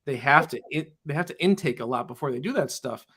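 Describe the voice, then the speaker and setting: A man's voice: fairly high pitch, expressive, fast talker, sharp tone, slightly rough. A man who teaches in public schools, talking earnestly in an interview and often restarting his sentences with "you know". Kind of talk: casual interview talk.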